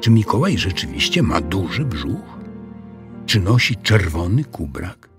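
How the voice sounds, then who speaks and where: A man narrating a children's audiobook in an expressive storytelling style. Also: low voice, measured pace, over music.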